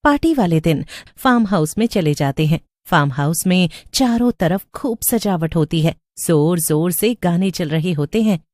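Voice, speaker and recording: A woman narrating a cartoon horror story in a steady storybook manner. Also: fairly low pitch, normal speed, no room sound, clean studio sound.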